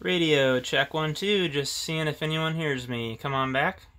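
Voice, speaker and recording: A man thinking aloud in a relaxed way as he tests his CB radio in a garage. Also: on the high side, a little slow, very noisy. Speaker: radio hobbyist demonstrating his CB radio. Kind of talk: casual hobby video narration. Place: home garage.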